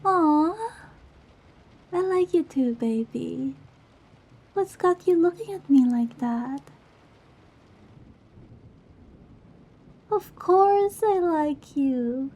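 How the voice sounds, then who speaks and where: A woman's voice: sits high, animated, slow pace, mellow, full character voice. A woman playing a goofy, affectionate young girlfriend in an intimate ASMR roleplay, with a playful, teasing manner. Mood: tender.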